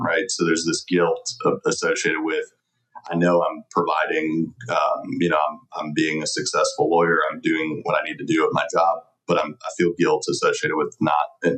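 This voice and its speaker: A man's voice: rumbling low, fairly bright tone, poor audio. A middle-aged man answering conversationally as an interview guest, earnest and reflective. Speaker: podcast guest, lawyer.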